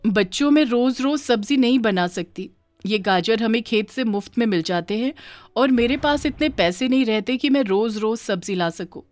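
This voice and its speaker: A woman's voice: a little high, expressive, moderate pace, darkish tone, no room sound, faint music behind, lightly acted. A woman voicing a poor, hardworking village mother, weary but patient, in a cartoon moral story. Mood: weary, pleading.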